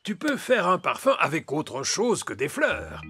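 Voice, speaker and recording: An actor playing a kindly old grandpa pig in a preschool cartoon, explaining things gently to children. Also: male voice, sits high, big pitch swings, a little slow, soft background music, heavily acted. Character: kindly old grandpa pig who loves his garden. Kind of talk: dubbed preschool cartoon acting.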